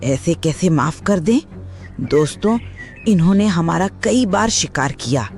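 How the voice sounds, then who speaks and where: A woman's voice: on the low side, animated, measured pace, darkish tone, faint music behind. A woman voicing a bold, indignant plant-eating forest animal in a cartoon, with a scolding manner. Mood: angry, scolding. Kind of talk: animated moral-story character acting.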